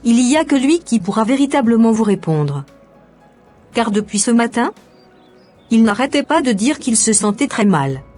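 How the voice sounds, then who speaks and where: A woman's voice: a little high, very animated, normal speed, a little mellow, soft background music. A woman voicing a worried preacher's wife. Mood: concerned.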